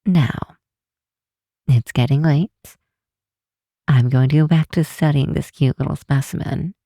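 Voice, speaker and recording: Low, mellow, teasing vampire woman, acted by a woman. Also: no echo, studio quality, heavily acted. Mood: tender. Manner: soft, unhurried, teasing, sometimes breathy near-whisper. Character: affectionate, teasing old-world vampire woman, a scientist friend. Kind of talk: intimate ASMR roleplay, one side of a call.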